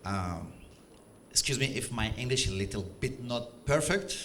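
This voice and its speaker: A man's voice: medium pitch, expressive, moderate pace, a bit bright, slight background noise. A middle-aged man from Algeria with an Algerian accent, giving a conference presentation in non-native English, hesitant, with many fillers and restarts. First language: French and Arabic. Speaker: conference speaker. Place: conference stage.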